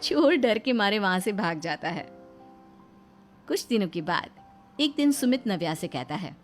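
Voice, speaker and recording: A woman's voice: mid-range voice, sing-song, a little slow, soft background music. A woman reading scripted narration for a story as its narrator.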